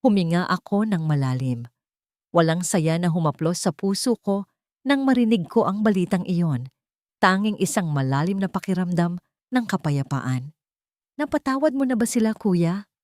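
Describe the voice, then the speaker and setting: A woman's voice: on the low side, expressive, moderate pace, darkish tone, dry recording, clean studio sound. A woman narrating a scripted sentimental story as a storytelling host, in an earnest and formal manner.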